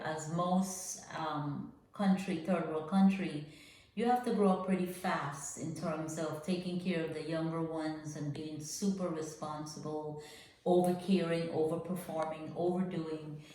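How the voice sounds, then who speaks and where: A woman's voice: fairly low pitch, steady tone, a little slow, a little mellow, slight background noise. Older woman from guyana, echoey and distant. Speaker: podcast guest. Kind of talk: personal life story.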